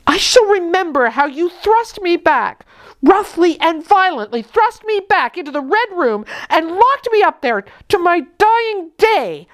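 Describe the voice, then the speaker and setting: A woman's voice: very high-pitched, very animated, unhurried, pitched above the performer's own voice, exaggerated voice. Woman acting a passionate, defiant young orphan girl. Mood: anguished outburst. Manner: impassioned, emphatic declarations.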